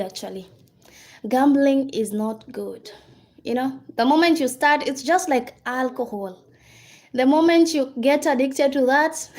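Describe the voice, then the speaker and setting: A woman with a Kenyan accent hosting a casual livestream, chatting and answering viewers' comments. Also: sits high. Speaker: livestream host.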